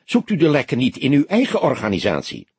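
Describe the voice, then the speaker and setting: A man's voice: mid-range voice, wildly swooping pitch, brisk, darkish tone, breathy edge, no room sound, voice pushed up, heavily acted. A man reading an audiobook, voicing an indignant, guarded woman under questioning who answers sharply and curtly, sometimes hesitantly. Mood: fierce, snappy. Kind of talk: audiobook character dialogue.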